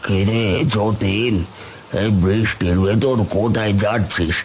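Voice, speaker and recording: A man's voice: fairly low pitch, fairly even intonation, a little slow, a little hiss or hum, lightly acted. A man voicing a friendly older village uncle in a cartoon, familiar and advisory in manner. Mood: friendly, cautioning. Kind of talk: cartoon voice acting.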